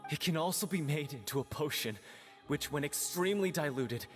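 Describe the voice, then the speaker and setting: A cowardly male guard from an anime game, played by an actor. His voice is high and nervous, pleading through long hall reverb with music behind. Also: animated, brisk, lightly acted. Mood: desperate.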